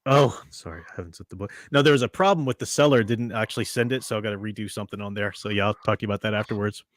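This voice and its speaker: A man's voice: fairly low pitch, rapid-fire, no room sound. A man hosting a casual podcast chat, opinionated and chatty, laughing often. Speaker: podcast host.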